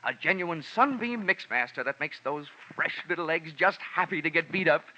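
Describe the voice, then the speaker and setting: An actor playing a chirpy, slick radio disc jockey with gushing, sing-song sales patter. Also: male voice, a little high, normal speed, sharp tone. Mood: gushing, excited. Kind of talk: 1940s radio quiz host patter. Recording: dull old radio recording with music.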